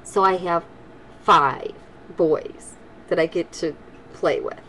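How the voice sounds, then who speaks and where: An older woman, a grandmother, speaking to children in an expressive, homely storytelling style. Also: lowish voice, slow, low-quality recording. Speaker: grandmother reading stories for children. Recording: home recording with faint background noise.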